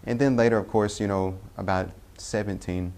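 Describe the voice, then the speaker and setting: A young man from Tennessee, telling his personal faith story in a halting way, with frequent pauses and self-corrections. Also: fairly low pitch, fairly even intonation, gravelly, a little mellow. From Tennessee, US Southern. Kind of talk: personal testimony.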